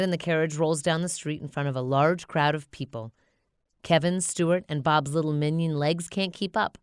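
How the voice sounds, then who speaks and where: A woman narrating a children's storybook in an expressive read-aloud style. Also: on the low side, smooth, dry recording, clean studio sound.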